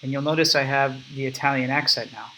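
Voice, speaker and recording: Young man, bright crisp voice, casual product walkthrough narration for a tutorial. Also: medium pitch, fairly even intonation, a little fast, poor audio. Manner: casual, step-by-step explaining. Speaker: app developer demonstrating his product. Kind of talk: screen-recorded software walkthrough.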